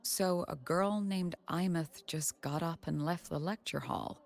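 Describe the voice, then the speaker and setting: Composed, low-voiced female professor. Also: creaky. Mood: thoughtful. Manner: measured, courteous. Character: composed, authoritative female professor and academy president. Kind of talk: video game voice acting. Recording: music under the voice.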